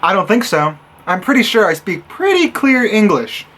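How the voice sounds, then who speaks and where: A young man from Illinois talks to the camera in a casual vlog. His voice sits high for a man. He tells his stories with animated sarcasm and acts out what other people said. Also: moderate pace. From Illinois, USA. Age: young adult. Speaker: college student vlogger.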